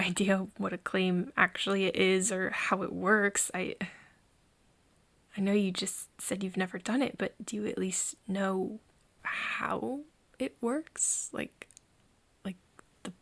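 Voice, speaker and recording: A woman playing a frightened but stubborn human confiding in a vampire friend in an intimate ASMR audio drama, with a husky, breathy voice and hesitant delivery. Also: mid-range voice, steady tone, fairly bright tone, heavily acted. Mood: hopeful, curious. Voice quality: breathy, husky, often dropping to a whisper. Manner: hesitant, halting, stammering over words.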